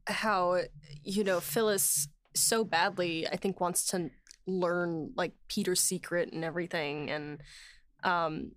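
A woman co-hosting a casual podcast discussion, speaking informally in halting phrases. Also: lowish voice, steady tone, dry recording. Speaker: co-host.

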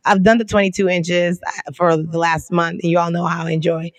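A woman co-hosting a podcast in casual chat, hoarse and losing her voice, telling stories in lively slang. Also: fairly low pitch, fairly quick, no echo. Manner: slangy, animated storytelling. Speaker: podcast co-host.

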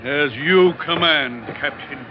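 An actor playing a stern, suspicious missionary reverend in old-time radio drama, with a formal, declamatory, accusing delivery. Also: male voice, fairly high pitch, takes it slowly, over music. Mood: insistent. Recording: muffled vintage radio recording with clear noise.

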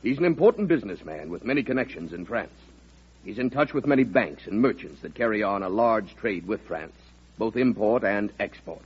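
A pressing, formal male senator in an old radio play. His husky voice moves in lively swings of pitch. The recording is dull and dated. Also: brisk. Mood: insistent. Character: insistent, formal, persuasive male opposition senator. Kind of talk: old-time radio drama acting.

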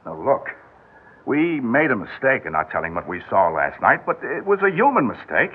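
An actor playing a harried, caring husband on edge, coaxing and wry, in old radio drama style. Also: male voice, mid-range voice, sing-song, normal speed, slightly rough. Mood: reasoning. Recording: tinny, band-limited old radio recording.

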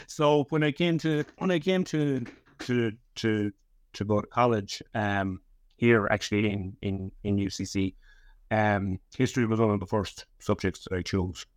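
A man's voice: lowish voice, steady tone, unhurried, no room sound. A man in his thirties with a Cork Irish accent, talking casually as a guest, often repeating words and restarting. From Ireland (West Cork). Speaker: historian, guest author. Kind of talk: casual interview chat.

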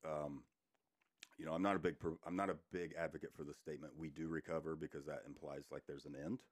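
Middle-aged man, very low voice, earnest, joking podcast guest, dry studio. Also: level tone, fast, no room sound. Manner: earnest, joking, talks in restarts. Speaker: guest, recovery coach. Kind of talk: casual podcast chat. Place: podcast room.

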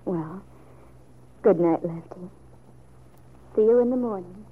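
A woman's voice: on the low side, slow, husky. A woman playing an earnest, hesitant young woman confiding in family, acted in old-time radio soap style. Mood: affectionate. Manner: gentle, hesitant. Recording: thin, phone-like old radio recording.

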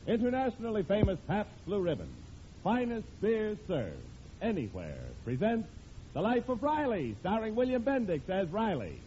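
A man's voice: high-pitched, very animated, moderate pace. A male announcer giving a formal, scripted introduction in vintage radio style. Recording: lo-fi old radio broadcast with clear hiss.

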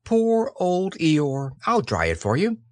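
A man voicing a kind, earnest young boy in a storybook, with a gentle and encouraging manner. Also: a little high, wildly swooping pitch, a little fast, breathy edge, no echo, heavily acted. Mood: kind, concerned.

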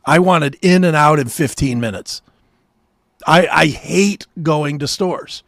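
A cantankerous American man chats and rants as a talk show co-host. His voice is on the high side with a heavy fry, recorded close and dry. He is blunt and swears freely. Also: takes it slowly, a bit bright, no room sound. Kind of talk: casual chat, ranting.

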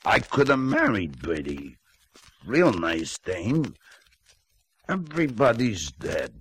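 An actor playing a dim, uneasy small-time crook in a 1950s radio drama, with slangy, slurred tough-guy talk and a gravelly voice. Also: fairly low pitch, sing-song, takes it slowly, a bit bright, full character voice. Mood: uneasy, maudlin.

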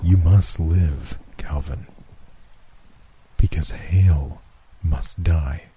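A man voicing Death, a calm and ominous grim reaper who speaks slowly and solemnly. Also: a bass voice, a little hiss or hum, exaggerated voice.

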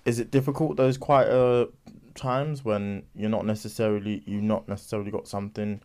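Mellow low-voiced male host. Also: little pitch movement, fairly quick, clean tone. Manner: laid-back questions, soft affirming murmurs. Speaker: interview host. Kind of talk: casual interview chat.

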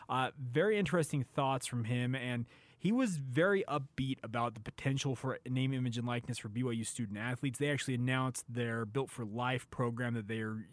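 Man, medium pitch, sports show host, casual unscripted talk, dry acoustics. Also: no echo.

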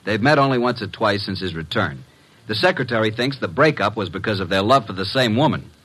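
An actor playing a breezy, wisecracking private detective's sidekick with an American accent, blunt and folksy, in a radio drama. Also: male voice, fairly low pitch, animated, fairly quick, fairly bright tone, husky. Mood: matter-of-fact. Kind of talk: old-time radio drama acting.

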